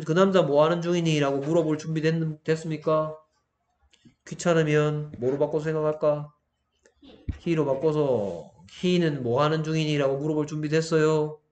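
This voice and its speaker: A man's voice: a little high, darkish tone. A man teaching English grammar, explaining step by step and often asking questions to check understanding. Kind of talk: explanatory lesson with questions to students.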